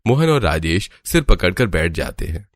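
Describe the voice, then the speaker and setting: Man, deep pitch, scripted story narration, storyteller. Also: big pitch swings, moderate pace, no room sound, clean studio sound.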